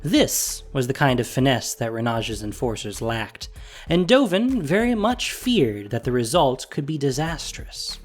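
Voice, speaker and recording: A narrator reading a scripted story in an expressive, deliberate storytelling manner. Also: mid-range voice. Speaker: narrator and producer. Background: music.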